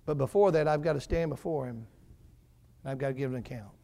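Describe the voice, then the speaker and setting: A man in his forties preaching a fervent sermon as pastor, building on repeated phrases and swinging between quiet and loud passages. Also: mid-range voice, fast, creaky. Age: 40s.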